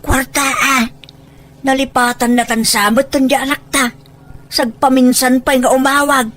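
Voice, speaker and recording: A high-pitched Filipino-accented older parent acted by a woman in an audio drama. The figure is boastful and money-minded, pressing others with exclamations and pointed questions. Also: little pitch movement, measured pace, fairly bright tone. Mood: nagging. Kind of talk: Ilocano audio drama acting.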